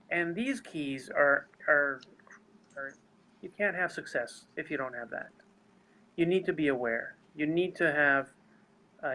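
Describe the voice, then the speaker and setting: A man's voice: fairly high pitch, bright, forceful tone, poor audio. A man with a non-native English accent, earnestly explaining his seminar work and speaking straight to viewers over a video call. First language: not English. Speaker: seminar leader. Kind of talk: live webinar talk.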